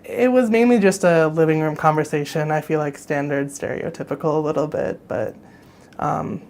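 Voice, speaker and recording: A woman's voice: deep voice, fairly even intonation, normal speed, a little mellow, slight background noise. A woman of twenty talking candidly in an interview. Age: 20s. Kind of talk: interview testimony.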